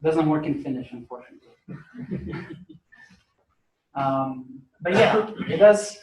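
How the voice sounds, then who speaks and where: A man's voice: on the high side, animated, slow, darkish tone. A man from Portugal with a Portuguese accent, giving a casual, chatty university lecture with many fillers. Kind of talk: classroom lecture. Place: lecture hall. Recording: echoey lecture hall recording.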